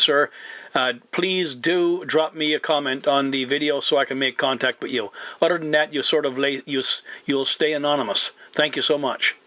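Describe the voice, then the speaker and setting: A man's voice: mid-range voice, pushed and bright, no echo. A man working as a CB radio operator and host, making formal, announcer-like contact calls with call signs, place names and phonetic spelling. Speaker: CB radio operator hosting a video channel.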